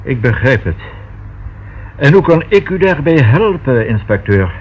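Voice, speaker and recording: A man's voice: mid-range voice, very animated, slightly rough. A man acting a discreet, proper notary in a Dutch radio play, speaking formally and choosing precise words. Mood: guarded, polite. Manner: formal, measured, precisely worded. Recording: dull, faintly noisy old radio recording.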